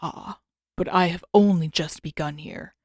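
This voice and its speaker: Storybook monster: menacing, human-faced centipede spirit voiced by a woman, rich tone, unhurried ornate delivery, dry recording. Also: on the low side, no room sound. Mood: gloating, hungry. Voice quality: rich, unnervingly smooth tone. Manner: slow, savoring, ornate phrasing.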